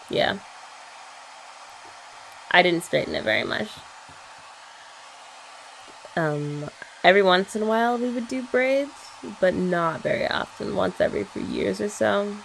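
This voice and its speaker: A woman's voice: medium pitch, gravelly, fairly bright tone, a little hiss or hum, poor audio. A young woman in an ASMR girlfriend roleplay, chatting in a playful, teasing way.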